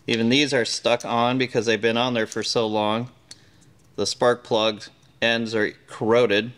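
A man's voice: medium pitch, level tone, measured pace, fairly bright tone. A middle-aged man hosting a workshop show, explaining plainly and step by step as he works with his hands in a large indoor garage. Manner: plain, matter-of-fact explaining while working. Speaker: host.

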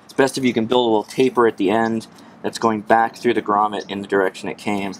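A man's voice: fairly low pitch, little pitch movement, fast. Casual DIY guy, noisy outdoor recording. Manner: casual, chuckling, thinking aloud while working. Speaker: DIY presenter. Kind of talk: casual hands-on tutorial. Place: outdoors at an open car. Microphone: camera set near the work. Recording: clear background noise, outdoor ambience.

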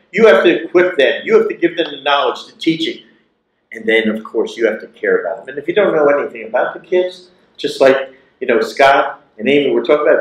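Older guy giving a keynote in a big lecture hall, punchy and repetitive, lots of room echo. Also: fairly high pitch. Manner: emphatic, animated gesturing, repeats key phrases. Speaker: keynote speaker. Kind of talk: motivational keynote speech. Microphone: lapel mic.

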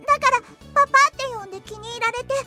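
Tiny bratty anime spirit girl, super high voice, cutesy polite, loud music behind. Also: rapid-fire. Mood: sheepish. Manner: cutesy childish speech with a quirky polite sentence ending. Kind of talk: anime audio drama acting.

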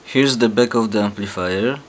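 A man narrating a step-by-step technical demonstration in halting, deliberate phrases, recorded indoors on a camera microphone. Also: fairly low pitch, rough recording. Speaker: host of an electronics demo video. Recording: clear background noise on amateur camera audio.

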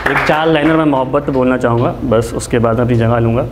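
A man's voice: medium pitch, quick pace. A man in his twenties reciting spoken-word poetry in a declamatory way, repeating lines for emphasis, into a stand mic at an open mic. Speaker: amateur poet, open mic performer. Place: small indoor open mic venue. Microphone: handheld-type mic on a stand, close. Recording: echoey room with background noise.

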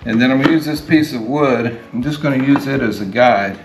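A man in his sixties, a DIY host giving a plain, unhurried step-by-step demonstration while working at his home garage workbench, picked up by a camera above the bench. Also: medium pitch, noisy background. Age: 60s. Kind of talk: hands-on how-to demonstration. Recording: echoey garage with guitar music. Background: guitar music.

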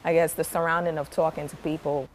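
A woman who is a student veteran speaks quietly and candidly in an interview. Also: low-pitched, level tone, quick pace, slight background noise, rough recording.

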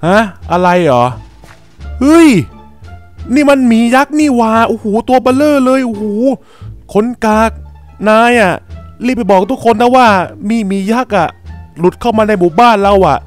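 High-pitched, exclamatory man in roleplay. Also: expressive, moderate pace, faint music behind. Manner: exclamatory, colloquial. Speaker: creator voicing characters in a Minecraft roleplay video. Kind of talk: animated improvised roleplay.

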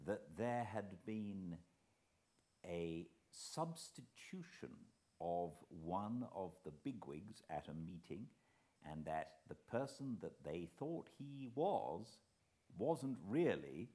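British guy, middle aged, doing fireside storytelling, deep unhurried voice. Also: very animated. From Britain. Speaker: audiobook narrator. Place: living room beside a fireplace.

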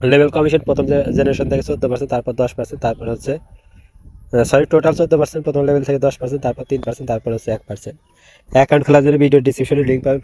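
Rapid, flat-voiced man explaining steps. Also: medium pitch, dry recording, low-quality recording. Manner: rapid step-by-step instructions with fillers. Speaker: online earning tutorial creator. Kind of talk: screen-recorded app walkthrough.

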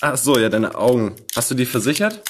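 A man's voice: medium pitch, big pitch swings, a little fast. A man hosting a podcast in casual, bantering chat, with a playful, teasing manner. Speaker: podcast host. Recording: faint background noise.

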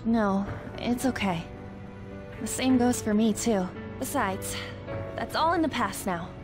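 An actress playing a sincere, soft-hearted teenage high school girl in a video game, gentle and earnest. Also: unhurried, close to an everyday voice. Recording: music behind the voice.

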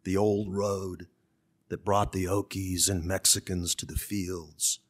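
A man in his sixties from California's Central Valley, an author speaking and reading to the public with measured, deliberate phrasing. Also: deep voice, flat delivery, slightly rough. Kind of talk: public talk and literary reading. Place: conference room. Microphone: gooseneck podium microphone.

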